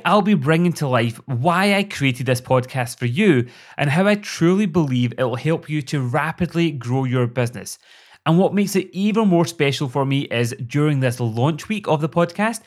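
A middle-aged Scottish man hosting a podcast, speaking as a performance coach in an upbeat, earnest, motivational monologue. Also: on the high side.

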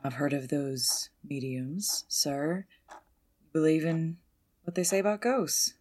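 A woman's voice: low-pitched, steady tone, takes it slowly, dark tone. A woman playing a deferential, guarded stunt driver in an audio drama, speaking politely with folksy, careful hesitations. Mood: cautious. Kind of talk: 1920s-set audio drama roleplay.

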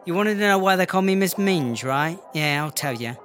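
Comedy audio drama: male actor as cocky English woman inmate, slangy blusterer, high bright voice over music. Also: expressive, moderate pace. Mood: boastful. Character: brash, foul-mouthed English female prison inmate and rapper. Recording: music under the voice.

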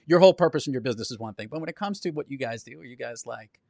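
An upbeat male podcast host asks his guests questions and cheers them on, his voice swinging from low murmurs to high bursts. The sound is dry and close, with no room echo. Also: animated, racing, creaky. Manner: upbeat, encouraging interviewer with big swings in pitch and loudness. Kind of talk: interview questions.

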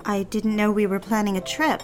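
A woman's voice: medium pitch, flat delivery, fairly quick, soft background music, lightly acted. A young woman acting a polite, restless secretary and daughter in a period audio drama. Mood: startled, indignant. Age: young adult.

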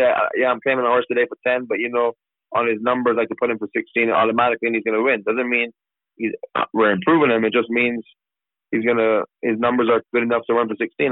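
A man talks quickly and casually, often restarting his sentences. His voice comes over a thin, tinny phone line with a dry sound. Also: mid-range voice, no echo. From Barbados. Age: 30s. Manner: fast, casual, with many restarts and fillers. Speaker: racehorse trainer. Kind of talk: radio interview answers.

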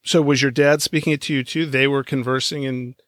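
A man's voice: medium pitch, fairly even intonation, brisk, fairly bright tone, no room sound. Guy hosting a podcast interview, short questions, laughs along, dry close mic. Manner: asks brief questions, laughs along often. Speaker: podcast host. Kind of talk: casual interviewing.

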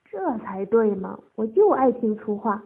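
A woman's voice: high-pitched, normal speed, narrow, tinny audio, pitched above the performer's own voice, close to an everyday voice. A woman voicing a coarse, domineering male official who barks bullying, foul-mouthed orders in an audiobook. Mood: gloating, excited.

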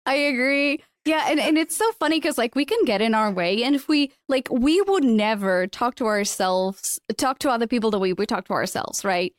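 Chatty woman host, higher voice. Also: expressive, normal speed, hardly any pauses, clean tone, dry recording, clean studio sound. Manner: chatty, many "like" fillers, laughs often. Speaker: podcast host. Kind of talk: casual conversational interview.

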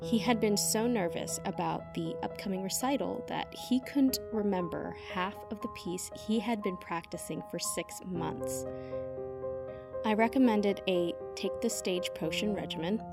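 A woman's voice: medium pitch, gravelly. Businesslike witch, played by a woman, over music. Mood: matter-of-fact, reflective. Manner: measured, businesslike. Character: earnest professional witch-for-hire dictating case files. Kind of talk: audio drama monologue as recorded case notes.

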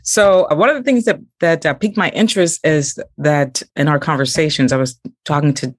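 A woman's voice: low voice, a little fast, no room sound, studio quality. A woman hosting a podcast interview, reading a guest introduction and then chatting, laughing at her own slips. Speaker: podcast host.

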